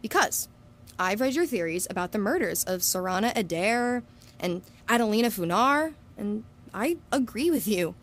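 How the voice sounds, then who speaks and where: A woman's voice: mid-range voice, animated, moderate pace, a bit bright. A woman playing a confident, scheming young student and true-crime podcaster in an audio drama, polite but pointed. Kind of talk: scripted audio drama acting.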